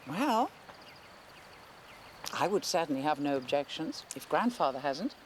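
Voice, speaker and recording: A woman's voice: low-pitched, sing-song, unhurried, background noise. An older woman acting a gracious, refined, appreciative grandmother in a film drama. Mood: agreeable.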